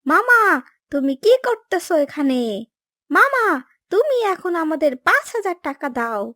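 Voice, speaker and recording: Woman as very high-pitched eager cartoon boy. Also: big pitch swings, a little slow, fairly bright tone, no echo, studio quality, exaggerated voice. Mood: insistent. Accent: Bangladeshi. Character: earnest, eager, kind-hearted young boy. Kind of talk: Bengali cartoon dubbing.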